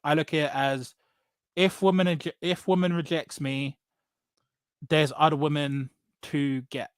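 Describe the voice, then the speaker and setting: English man, higher-pitched voice, blunt delivery. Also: fairly even intonation, unhurried, clear, resonant tone, no echo. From England. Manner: blunt, emphatic, often repeats phrases and checks with "right?". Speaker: podcast guest. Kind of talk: casual personal storytelling in conversation.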